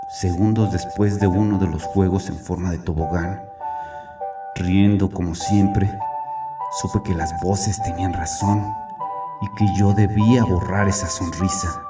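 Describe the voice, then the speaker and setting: Tormented religious father, deep register, grave deliberate horror narration, clear music bed. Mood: haunted, guilty. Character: tormented guilt-ridden religious father hiding in a bunker. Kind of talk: dramatic first-person horror narration. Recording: voice over prominent music.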